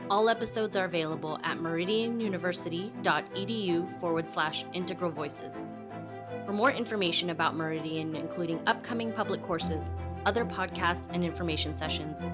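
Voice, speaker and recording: Woman reading a podcast outro over music. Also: lowish voice. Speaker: announcer. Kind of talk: scripted outro.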